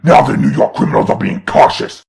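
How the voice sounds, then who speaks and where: A man's voice: a little fast, a little mellow, close to an everyday voice. A man playing an imperious, menacing demon lord of hell in a comic dub, pompous, scolding and growling. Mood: scolding, contemptuous. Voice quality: gravelly, growling. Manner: pompous, scolding, theatrical delivery. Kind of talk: comic dub villain acting.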